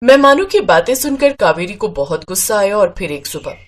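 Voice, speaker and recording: Mid-pitched woman storyteller. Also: big pitch swings, a little fast. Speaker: narrator. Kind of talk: scripted storytelling narration.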